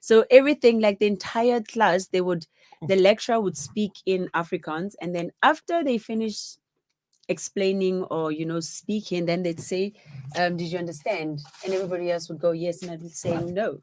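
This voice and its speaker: A woman in her forties from South Africa co-hosting a casual live-stream chat, teasing and animated as she tells stories. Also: lowish voice, normal speed. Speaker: co-host.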